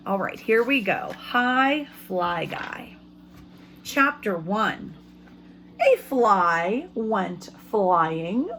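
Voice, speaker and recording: Slow-paced woman teacher reading to children. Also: mid-range voice, a little hiss or hum, poor audio. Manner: pauses to ask children questions; expressive storybook reading. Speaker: elementary school teacher. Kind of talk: read-aloud lesson for young children.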